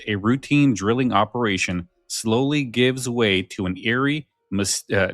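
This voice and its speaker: A man's voice: lowish voice, a bit bright, no room sound, studio quality. A man co-hosting a podcast in a casual, informal way, often repeating his phrases. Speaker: podcast co-host.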